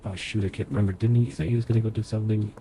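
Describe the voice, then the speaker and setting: A man's voice: lowish voice, very fast, dark tone, a little hiss or hum. A young man streaming a game playthrough, thinking aloud as he plays, sounding nasal because he is sick. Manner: chatty, thinks aloud while playing. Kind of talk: live game playthrough commentary.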